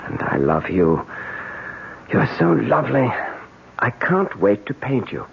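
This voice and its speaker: An actor playing an ardent, romantic husband with a quick temper in a radio drama. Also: male voice, medium pitch, very animated, gravelly, husky, lo-fi sound, heavily acted. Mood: tender, seductive. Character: ardent husband and painter, romantic, easily irritated.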